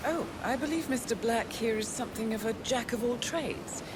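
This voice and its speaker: A woman's voice: on the high side, normal speed, very noisy, close to an everyday voice. An actress playing a poised, sly society woman with an arch, formal way of talking in a video game. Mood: wry, teasing. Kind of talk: scripted game cutscene dialogue.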